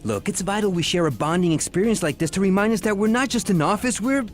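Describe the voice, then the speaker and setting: An overeager male office boss in a comedic audio drama. He speaks in a very high, animated voice with earnest, grand theatricality. Faint music plays behind him. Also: fast talker. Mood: earnest, stressed. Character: overeager male office boss pushing forced team bonding.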